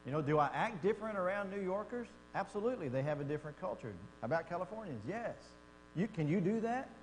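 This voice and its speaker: A man's voice: high-pitched, animated, fast talker. Texan man, Bible class teacher, folksy and chatty; echoey hall, faint mains hum. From Texas. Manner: folksy and conversational, asks the class questions. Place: church classroom.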